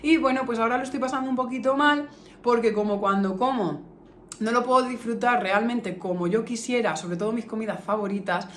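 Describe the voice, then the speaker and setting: A woman's voice: on the high side, fairly even intonation, fairly quick. Echoey, chatty Spanish woman vlogger. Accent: Castilian Spanish from La Mancha. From Albacete, La Mancha, Spain. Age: early 30s. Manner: chatty, affectionate, emphatic with repetitions. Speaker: beauty vlogger. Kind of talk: casual chat to camera, answering viewer questions. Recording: echoey room with faint noise.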